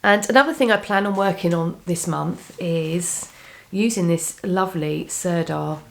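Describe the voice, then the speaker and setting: Croaky low-voiced woman chatting casually. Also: measured pace. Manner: chatty, rambling, frequent restarts and ums. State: has a cold, slightly croaky. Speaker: knitting vlogger. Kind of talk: casual chat to camera.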